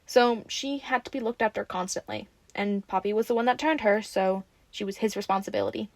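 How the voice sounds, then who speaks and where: Woman acting as a friendly excitable young woman, rambly n teasing, casual roleplay chat, super dry recording. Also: medium pitch, brisk, dry recording, natural voice. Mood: relaxed, reminiscing. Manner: rambling, playfully defensive, casual. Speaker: audio roleplay voice actor. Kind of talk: ASMR audio roleplay acting, conversational.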